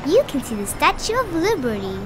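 A woman voicing a friendly, brisk female rabbit who works as a taxi driver and tour guide in a children's storybook. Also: high voice, very animated, noisy background, voice pushed up. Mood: informative. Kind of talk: animated children's storybook read-aloud.

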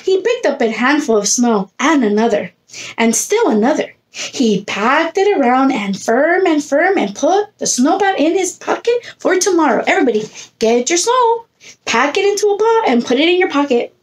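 A woman's voice: high-pitched, big pitch swings, moderate pace. A woman in her thirties, a preschool teacher reading a picture book aloud to young children, expressively, coaxing them with questions and prompts. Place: indoor room against a plain textured wall.

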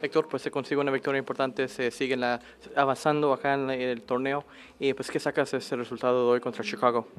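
A man's voice: medium pitch, little pitch movement, quick pace. A man working as a reporter, asking post-match questions piece by piece, with faint room noise.

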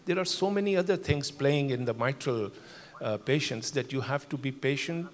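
Older man, measured panel talk in a hall. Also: mid-range voice, lively intonation. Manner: measured, explanatory, many fillers. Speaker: cardiologist panelist. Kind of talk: conference panel discussion. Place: large conference hall. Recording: long hall reverb.

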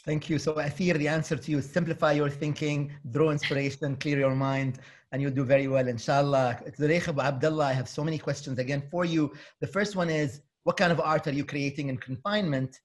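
Man, middle-aged, moderator, courteous, slightly high voice, video call. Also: steady tone, a little fast. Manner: polite, reads out audience questions. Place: home study with bookshelves.

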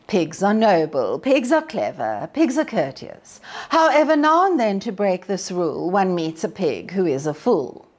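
A woman storyteller reading a rhyming children's story aloud, dramatic and at times hushed. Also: on the low side.

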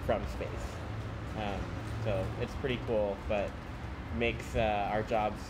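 A young man from Hawaii, talking through his work in a relaxed, thoughtful way with many pauses, recorded on a phone in an outdoor walkway. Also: lowish voice, heavy background noise. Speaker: guest, engineer. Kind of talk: casual interview.

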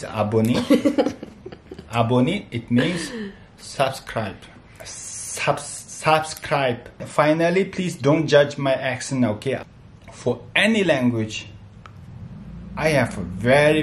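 A man in his thirties from Tibet, speaking as a non-native with a Tibetan accent, chatting casually and haltingly as a family vlogger and repeating himself. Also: medium pitch. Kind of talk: casual vlog chat. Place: small bright room at home. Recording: echoey room, faint background noise.

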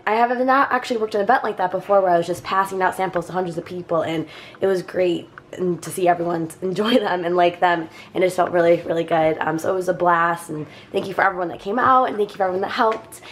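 A woman's voice: lowish voice, steady tone, fast talker, slight background noise. Upbeat young woman vlogging by phone. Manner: chatty, upbeat, with filler "um"s. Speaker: food blogger. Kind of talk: casual vlog. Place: small apartment, indoors. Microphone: phone camera held at arm's length.

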